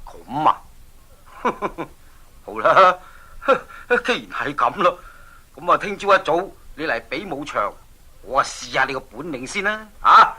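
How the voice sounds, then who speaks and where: A taunting, hearty island king in a radio play, speaking with a Hong Kong Cantonese accent. The voice is bright and forceful with a husky grain. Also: on the high side, sing-song, unhurried, long pauses, heavily acted. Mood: boastful, taunting. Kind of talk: 1980s Cantonese children's audio drama.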